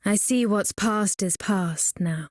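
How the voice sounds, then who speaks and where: A woman voicing a reserved, solemn, introspective game character, formal and quietly reflective. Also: medium pitch, takes it slowly, dry recording, close to an everyday voice.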